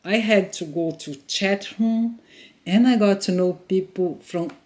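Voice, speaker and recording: A woman's voice: medium pitch, measured pace, warm tone. A Brazilian woman in her forties, Portuguese her first language, telling her story in English with learner's grammar and frequent laughs. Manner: earnest, laughs often, simple learner's grammar. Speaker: vlogger. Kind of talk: casual storytelling.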